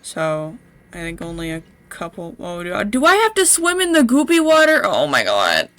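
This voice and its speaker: A woman's voice: fairly low pitch, huge pitch swings, moderate pace, a bit bright. A young woman livestreaming a video game with casual, rambling commentary, blunt and slangy with some swearing. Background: game music.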